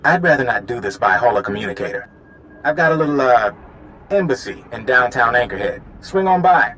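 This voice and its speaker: An actor playing a smooth-talking government agent in a video game, affable and sly, with an informal, insinuating manner. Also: male voice, mid-range voice, animated, brisk, a bit bright, slight background noise, natural voice. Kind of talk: video game cutscene acting.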